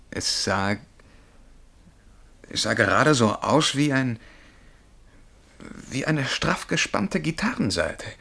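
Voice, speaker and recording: An actor playing a haunted young man who recounts a dream of being a galley slave, telling it intensely and haltingly in a German radio play. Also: mid-range voice, gravelly, fairly bright tone, heavily acted. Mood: searching, awed. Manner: intense, vivid, halting at times. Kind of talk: German radio play acting, dramatic storytelling.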